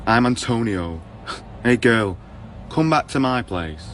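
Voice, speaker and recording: A man's voice: medium pitch, expressive, slow, slight background noise. A man acting a sardonic, charming, down-on-his-luck salesman in a radio play, delivering a wry, rueful verse monologue. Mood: rueful, bitter. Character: sardonic charming half-Latin salesman sleeping rough in car. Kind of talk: poetic radio drama acting.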